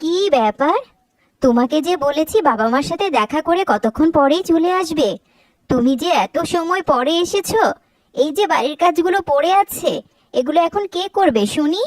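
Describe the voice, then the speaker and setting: Woman voicing a haughty, shrill, high-pitched cartoon mother-in-law. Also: animated, moderate pace, no echo. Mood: scolding, outraged. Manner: sharp, scolding, indignant. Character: haughty, cruel older mother-in-law scolding her daughter-in-law. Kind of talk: Bengali cartoon voice acting.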